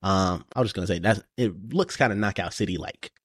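A man's voice: low voice, fairly quick, fairly bright tone, slightly rough, no room sound, clean studio sound. A man co-hosting a podcast, telling stories in a laid-back casual chat full of "bro" and "you know". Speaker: podcast co-host.

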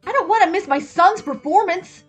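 A woman's voice: very high-pitched, lively intonation, normal speed, voice pushed up. A woman voicing an exasperated, impatient mother in her thirties for a story, with snappy, emphatic delivery over music. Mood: annoyed, desperate.

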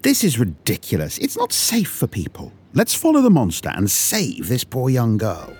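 A woman's voice: very deep voice, wildly swooping pitch, moderate pace, a little mellow, pitched below the performer's own voice, heavily acted. Xue Yi, a woman voicing a brave young man. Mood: determined.

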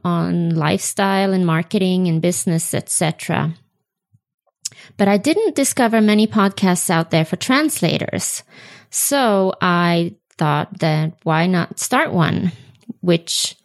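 A woman's voice: fairly low pitch, darkish tone. A woman in her forties with a Swedish accent, hosting an unedited solo podcast and talking with frequent ums and self-corrections. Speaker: podcast host.

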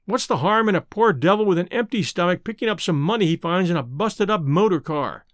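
Male reader voicing surly drifter, rough, whiny, lively pitch. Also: fairly quick, dry recording, studio quality. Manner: rough, whining. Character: surly down-on-luck man faking a rough persona.

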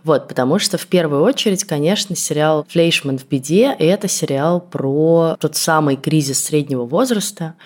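A woman's voice: low-pitched, normal speed, hardly any pauses, darkish tone. Woman podcast host, reflective and explanatory. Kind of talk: conversational TV series discussion.

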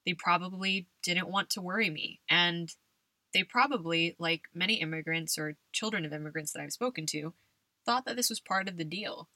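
A woman's voice: on the low side, normal speed, pushed and bright, no echo. A young adult woman from California hosting a podcast, telling personal stories conversationally and laughing often. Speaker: podcast host.

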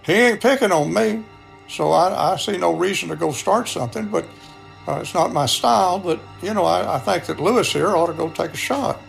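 Older man, Texan accent, folksy storyteller, creaky voice, personal anecdote with acted-out dialogue, faint music behind. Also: sits high, lively intonation, moderate pace. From Texas, United States. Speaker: storyteller, radio show contributor.

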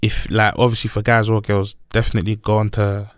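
A man's voice: lowish voice, monotone, fairly quick, clean tone, dry recording, clean studio sound. A young man with a London accent, a podcast guest chatting in a brash, joking way and repeating himself. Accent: London English. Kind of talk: casual chat.

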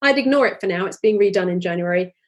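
Husky woman, 40s, candid podcast guest, earnest and animated. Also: mid-range voice, fast. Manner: earnest, animated, many restarts. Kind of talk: candid conversational interview.

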